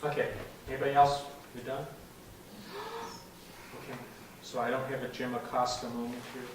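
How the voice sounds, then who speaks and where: A man's voice: medium pitch, level tone, moderate pace, background noise. A man presenting at a computer club meeting, explaining a demo step by step in a chatty way and saying "okay" often. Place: meeting room, heard from a distance. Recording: echoey room, far from the mic.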